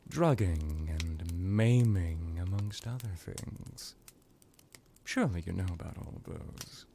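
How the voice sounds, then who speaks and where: A man playing a suave, menacing vampire lord in an ASMR roleplay, musing languidly with drawn-out words and condescending affection. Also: low-pitched, animated, gravelly, noisy background, heavily acted. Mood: veiled threat. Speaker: character roleplay performer. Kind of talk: ASMR villain roleplay monologue.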